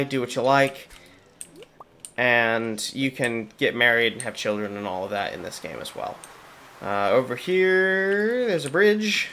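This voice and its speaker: A man's voice: mid-range voice, a bit bright. A young man with a slightly sore voice, chatting casually through game commentary with wry asides. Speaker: gaming channel host.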